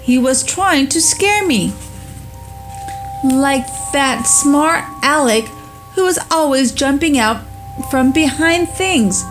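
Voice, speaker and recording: A woman's voice: high-pitched, animated, slow, pitched above the performer's own voice. Woman doing an eager little boy. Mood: indignant. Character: young boy, curious then bold. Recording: music playing underneath.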